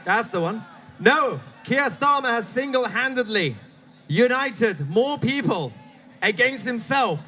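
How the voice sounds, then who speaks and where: A man giving an impassioned outdoor rally speech, shouting to a crowd. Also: very high voice, expressive, takes it slowly. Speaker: rally speaker.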